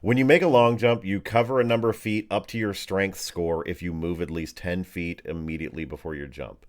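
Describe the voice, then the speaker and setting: A man's voice: deep voice, a little fast. Informal man running a tabletop game. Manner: informal, animated, fumbles words, uses makeshift sound effects. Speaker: game master. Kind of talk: casual tabletop game narration and rules talk.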